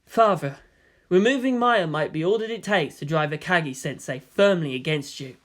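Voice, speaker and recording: Man voicing a defiant, high-pitched teenage boy. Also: expressive, normal speed, fairly bright tone. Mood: quietly defiant. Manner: pleading yet composed. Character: defiant but soft-spoken teenage boy, commander's son.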